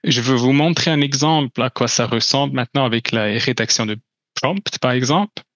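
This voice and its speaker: A man's voice: mid-range voice, brisk, a bit bright, no echo, highs cut off. Man presenting hesitantly. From Switzerland. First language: German. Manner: hesitant, many restarts and fillers. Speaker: company presenter. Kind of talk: conference talk with slides.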